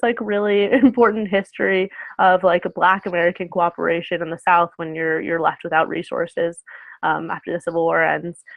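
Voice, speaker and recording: A woman's voice: fairly low pitch, gravelly, dry recording. Young woman on a tinny call line. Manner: rambling, many fillers and self-corrections. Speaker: guest speaker. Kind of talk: casual video-call conversation. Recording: tinny phone-like call audio.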